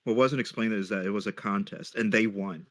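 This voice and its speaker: A man giving casual joking commentary among friends, dropping wry deadpan asides. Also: fairly low pitch, fairly quick. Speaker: reader and co-commentator on a stream.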